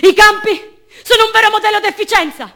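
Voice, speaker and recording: A woman's voice: extremely high pitch, steady tone, fairly quick, bright, forceful tone. An actress playing an anguished, defiant young woman held prisoner, in an intimate, bitterly ironic radio drama monologue. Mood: bitter sarcasm.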